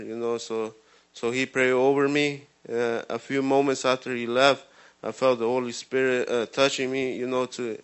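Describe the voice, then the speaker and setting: A man in his twenties from Guatemala, giving a halting personal testimony with many fillers and restarts. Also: mid-range voice, a bit bright, smooth. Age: 20s. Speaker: ministry program resident giving testimony.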